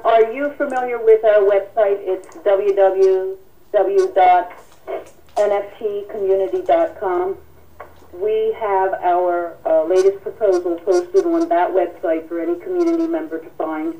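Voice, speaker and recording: A woman hosting a telephone town hall as a union president, speaking formally and politely with halting delivery while taking callers. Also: medium pitch, steady tone, highs cut off. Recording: distant radio-like telephone conference audio. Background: telephone conference call.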